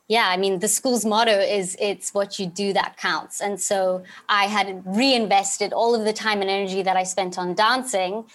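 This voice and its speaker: A young woman from Zimbabwe in her twenties talks through her answers as a podcast guest. Her voice sits at an ordinary middle pitch, and she speaks earnestly and reflectively. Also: steady tone, normal speed, hardly any pauses, fairly bright tone. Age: 20s. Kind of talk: conversational interview answers.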